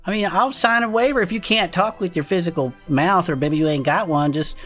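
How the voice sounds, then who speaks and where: A woman's voice: lowish voice, fairly quick, fairly bright tone, soft background music. A woman co-hosting a podcast with casual, wry, quippy comic banter. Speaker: podcast co-host.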